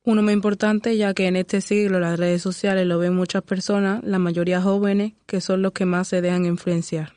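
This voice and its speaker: A teenage woman speaks in a flat, level way, keeping her answers short and plain. Her voice sits in a middle pitch and the recording is dry and close. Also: moderate pace, hardly any pauses, clear, resonant tone, dry recording. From Spain. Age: teens. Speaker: panelist. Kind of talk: podcast discussion.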